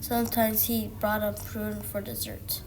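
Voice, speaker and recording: A woman's voice: mid-range voice, little pitch movement, a little slow, background noise. A woman reading a children's storybook aloud, now and then stumbling over and repeating words. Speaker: student reader. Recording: music playing behind the voice. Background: music.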